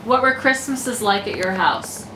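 Woman gently asking family questions. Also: medium pitch, a little slow. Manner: gentle questions, small encouraging replies. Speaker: family member interviewing. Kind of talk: casual family interview. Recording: echoey room with background hum.

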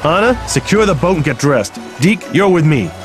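An actor voicing a gruff male team leader who barks orders. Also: on the high side, very animated, moderate pace, over music. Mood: commanding. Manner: barking orders.

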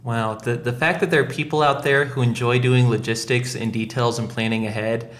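A man's voice: mid-range voice, steady tone, brisk, roomy sound. A man hosting a casual podcast, chatting in a jokey, self-deprecating way. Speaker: podcast host.

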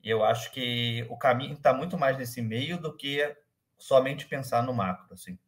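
A man in his thirties with a carioca accent softened by years in São Paulo, a guest speaking casually on a video call and hesitating with many fillers and restarts. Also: medium pitch, moderate pace. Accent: carioca Brazilian Portuguese, softened by years in São Paulo. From Rio de Janeiro, Brazil. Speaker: guest, company manager. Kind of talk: casual interview conversation. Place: room at home, indoors. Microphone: webcam or laptop mic on a video call.

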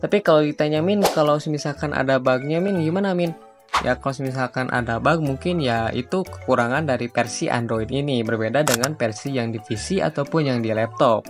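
A young man hosts a casual how-to video. He talks at a middling pitch in a chatty, slangy way, walking viewers through steps on a screen, with faint music underneath. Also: fairly quick. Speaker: YouTube tutorial host. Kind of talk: casual screen-recording tutorial narration. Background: background music.

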